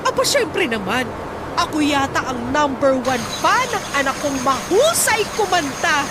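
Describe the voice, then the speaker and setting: A woman playing a caring, proud middle-aged mother speaking gently in a Tagalog radio drama. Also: high-pitched, very animated, unhurried, heavy background noise. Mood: proud, excited. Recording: loud music bed behind the voice.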